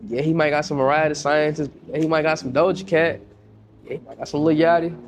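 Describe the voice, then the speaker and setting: A young man with a congested, stuffed-up voice, talking as a guest producer in a loose podcast chat. He sits a little high in pitch and leans into slang, circling back and repeating phrases as his stories pick up speed. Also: fast, slight background noise. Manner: slangy, repeats himself, lively storytelling. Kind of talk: casual podcast interview.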